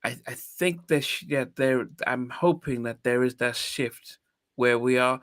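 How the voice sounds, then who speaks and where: A man's voice: mid-range voice, measured pace, fairly bright tone, no room sound, clean studio sound. A man in his fifties, from Nigeria, hosting a podcast in a reflective, hesitant way with many restarts. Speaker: podcast host. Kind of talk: casual interview chat.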